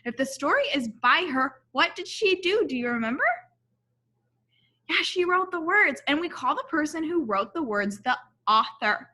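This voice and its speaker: A woman with a bright, fairly high voice reads a picture book aloud like a teacher. She is instructive, stepping out of the text to question her young listeners and point things out. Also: expressive, normal speed. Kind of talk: storybook read-aloud with asides and questions to children.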